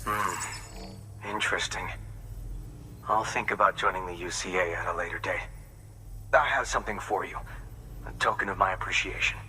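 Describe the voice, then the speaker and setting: A man's voice: low voice, normal speed, long pauses, bright, forceful tone. A man acting as an embittered, distrustful junk dealer in a video game, speaking in a curt, wary way. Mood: grudging, grateful. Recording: distant from mic, clear background noise.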